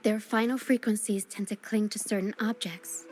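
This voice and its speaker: A woman playing a caring, solemn young woman in a video game, speaking in a measured and earnest way. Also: mid-range voice, steady tone, darkish tone, lightly acted. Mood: solemn, explanatory. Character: caring young woman guiding through a ghostly mist, solemn and gentle. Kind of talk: scripted video game acting. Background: game music.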